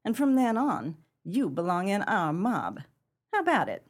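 A woman playing a tough, proud 1930s gang leader in a pulp crime radio play, with brassy, slangy gangster talk and a husky voice. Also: mid-range voice, very animated, moderate pace. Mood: tough, challenging.

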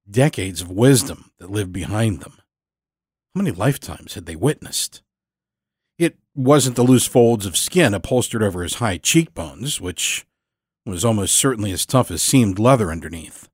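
Low, dry-sounding male storyteller. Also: dry recording. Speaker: storyteller, narrator. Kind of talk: dramatic first-person scripted narration.

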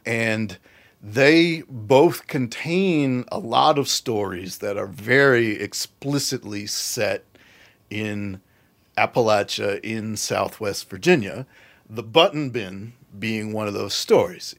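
A man's voice: lowish voice, takes it slowly, a bit bright. A man speaking conversationally as an author being interviewed about his work. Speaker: interview guest, horror and fantasy author.

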